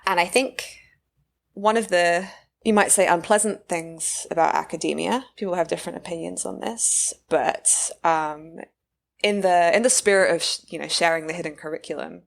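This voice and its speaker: Woman, british accent, low pitch, gravelly edge, podcast guest, thoughtful. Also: normal speed. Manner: thoughtful, many fillers and restarts. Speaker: guest researcher. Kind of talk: casual interview talk.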